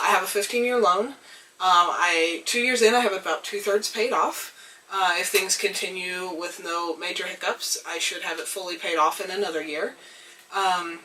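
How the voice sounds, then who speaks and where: A young woman getting over a cold, her voice a little hoarse, talking thoughtfully to the camera with frequent pauses and ums. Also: fairly low pitch, pushed and bright, recorded from a distance, slight background noise, low-quality recording. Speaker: vlogger. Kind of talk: reflective casual talk to camera. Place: tiny house.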